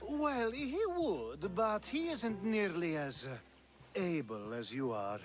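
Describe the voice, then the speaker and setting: Game role, flirtatious Greek aristocrat: slow theatrical drawl, hugely animated intonation, sly. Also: male voice, sits high, heavily acted. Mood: coaxing, sly. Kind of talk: theatrical video game cutscene acting.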